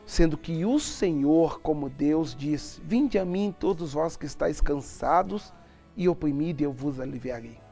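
A man in his thirties with a Brazilian accent, preaching as a pastor in an earnest, emphatic devotional style with deliberate pauses. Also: a little high, gravelly, dark tone. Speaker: pastor, programme host. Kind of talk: televised devotional sermon and prayer. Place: TV studio, indoors. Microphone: lapel mic. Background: faint music.

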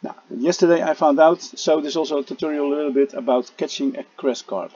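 A man walks through a game tutorial in a casual voice-over, pausing often on "uh" and restarting his sentences. His voice is low-toned and a little husky, and it comes through a dull, lo-fi home microphone. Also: dark tone. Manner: halting, many "uh" fillers and restarts. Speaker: gameplay tutorial narrator.

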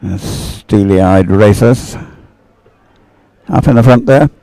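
A man's voice: on the low side, takes it slowly, gravelly, mellow, no echo. A man giving live sports commentary on a race, observing and thinking aloud with hesitant phrasing.

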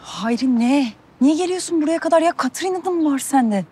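A woman's voice: sits high, animated, brisk, warm tone. An actress playing a reserved, weary woman in her thirties in a television drama, restrained and firm yet polite. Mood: wary, exasperated. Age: 30s.

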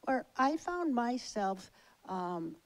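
A woman's voice: on the high side, big pitch swings, slow. A middle-aged woman in the audience of a large hall, speaking into a handheld mic and telling a personal story with some fillers. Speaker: audience member. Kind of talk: public comment at a lecture.

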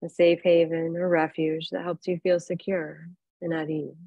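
A woman teaching yoga nidra, leading a guided relaxation in a calm, unhurried, soothing manner with long pauses. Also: lowish voice, creaky, dry recording, lo-fi sound. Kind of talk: guided relaxation meditation, instructing softly.

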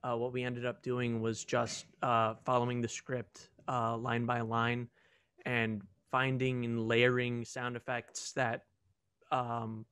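A man's voice: mid-range voice, completely flat delivery, slow, fairly bright tone, clean tone, no room sound. A young man answering casually on a video call Q&A as a student audio team member. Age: young adult.

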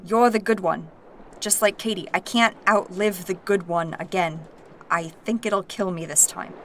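Blunt, guarded fantasy noblewoman: lower voice, short plain sentences. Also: normal speed, fairly bright tone, a little hiss or hum. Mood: pained, pleading. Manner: terse, blunt. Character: proud, guarded, blunt noblewoman, emotionally wounded.

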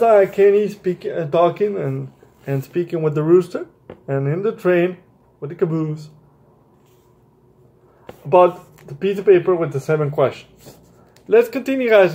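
A young adult man hosting a children's story time, speaking haltingly and often repeating his phrases. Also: sits high, animated, mellow. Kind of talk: children's story time, read-aloud and chat to viewers. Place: small bright room indoors.

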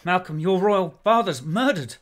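Man acting a high-voiced, urgent nobleman, echoey. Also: expressive, normal speed, fairly bright tone. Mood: horrified, urgent. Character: urgent, loyal Scottish nobleman, horrified by murder. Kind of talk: classical Shakespearean radio drama acting. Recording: echoing room sound.

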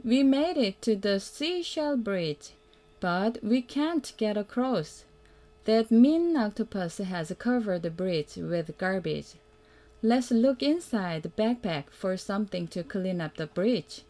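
Slow, mellow woman reading to children. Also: medium pitch, lively intonation. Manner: simple, deliberate, prompting the listener with questions. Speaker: mother reading a picture book to children. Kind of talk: read-aloud children's storytelling.

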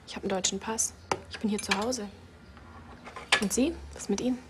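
An actress playing a frightened young woman witness from Kazakhstan, soft and hesitant, slipping into whispers. Her voice sits at a middling pitch. Faint room noise lies behind her. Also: fairly even intonation, fairly quick, natural voice. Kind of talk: TV crime drama acting.

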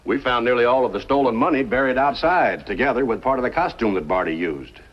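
An actor playing an earnest, courteous, folksy small-town banker in a 1950s television western. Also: male voice, mid-range voice, lively intonation, fast talker, natural voice. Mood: grateful. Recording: dull old 1950s television soundtrack.

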